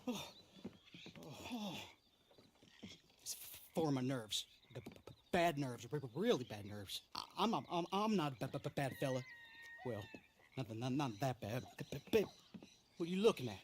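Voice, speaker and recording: An actor playing a nervous, suspicious small-time outlaw with a heavy stutter in a video game. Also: male voice, mid-range voice, big pitch swings, normal speed, pauses often, a little hiss or hum, natural voice. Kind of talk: video game character acting.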